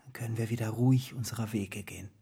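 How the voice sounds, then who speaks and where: Breathy, intense young dreamer. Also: male voice, fairly low pitch, fairly even intonation, fast talker, exaggerated voice. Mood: brooding, subdued. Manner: impassioned, dramatic, swinging between hushed and ecstatic. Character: intense, confessing young man, dreamy and feverishly exalted. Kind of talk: German radio drama acting.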